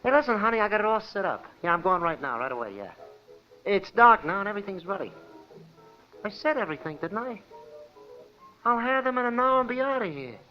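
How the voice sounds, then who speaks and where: An actor playing a small, shifty, eager crook in an old radio crime drama, sweet-talking in a wheedling way. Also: male voice, high voice, big pitch swings, fairly quick, long pauses. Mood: eager, secretive. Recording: muffled old radio recording, faint music.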